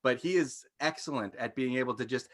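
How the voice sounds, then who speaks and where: A man chats as a panelist in a casual discussion. His pitch is average, and he offers opinions informally, often repeating a word while he works out his thought. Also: a little fast, fairly bright tone.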